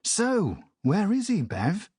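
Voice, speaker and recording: A man's voice: high voice, wildly swooping pitch, slow pace, a little mellow, husky, dry recording, clean studio sound, pitched above the performer's own voice, full character voice. A man voicing a frustrated, irritable father in a children's audiobook, impatient and grumbling. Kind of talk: audiobook character voice.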